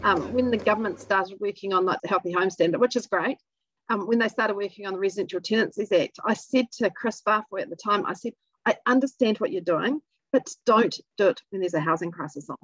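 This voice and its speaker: A middle-aged woman, an industry executive explaining things in a measured interview manner. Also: medium pitch, a bit bright, breathy edge, no echo, highs cut off. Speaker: executive officer of an industry group. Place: small indoor room.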